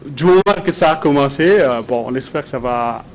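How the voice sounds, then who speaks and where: Male interview guest, researcher, Congolese French accent, medium pitch, hesitant repetitive phrasing, noisy ambience. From Democratic Republic of the Congo. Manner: hesitant, halting, repeats words. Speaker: guest, researcher and doctoral student. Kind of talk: interview answers. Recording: clear background noise and ambience.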